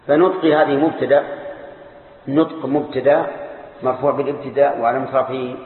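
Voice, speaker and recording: Male grammar teacher, measured and deliberate, mid-pitched voice, tinny phone-like sound with long hall echo. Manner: slow, deliberate parsing, drawing out key words. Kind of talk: classical grammar lesson. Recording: echoey hall over a tinny lo-fi line.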